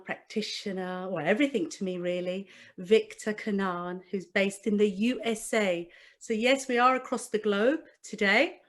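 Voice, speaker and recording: A woman hosts an online panel and speaks at an unhurried pace, giving gentle introductions. Her voice sits in a middle range. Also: mid-range voice. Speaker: host.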